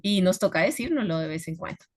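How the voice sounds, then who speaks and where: A woman's voice: fairly low pitch, lively intonation, quick pace. A woman with a Colombian accent, a communication trainer speaking conversationally and checking in often with her listeners. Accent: Colombian Spanish. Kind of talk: conversational talk.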